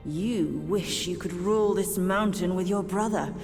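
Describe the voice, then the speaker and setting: A woman voicing a proud, world-weary demon queen and mother in a game cutscene, speaking in an imperious, measured way. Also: lowish voice, a little mellow, soft background music. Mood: stern, bitter. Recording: long hall-like reverb.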